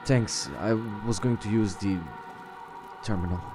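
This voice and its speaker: Slow, halting man roleplaying a game. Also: fairly low pitch, music in the background. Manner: hesitant, halting. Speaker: gaming video host, roleplaying as the player. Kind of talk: improvised in-character roleplay and reading aloud of game text.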